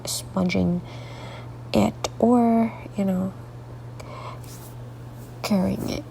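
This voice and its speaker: A woman artist talking through a coloring tutorial with a congested, stuffy voice, rambling softly and often dropping into half-whispered asides, sleepy late at night. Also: mid-range voice, gravelly, slight background noise, poor audio. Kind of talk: casual coloring tutorial narration.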